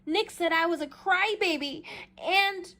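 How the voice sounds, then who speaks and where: A woman reading a children's story aloud with dramatic, emphatic expression and drawn-out phrases. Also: very high voice, sharp tone. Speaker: storybook reader. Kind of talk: expressive children's read-aloud in a child narrator's first person.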